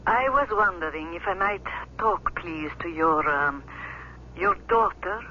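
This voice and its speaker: Secretive telephone stranger, bright voice. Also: female voice, lowish voice, animated, unhurried, muffled recording, full character voice. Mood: guarded, urgent. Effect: telephone filter. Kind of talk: 1970s radio drama acting. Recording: thin phone sound with faint hiss.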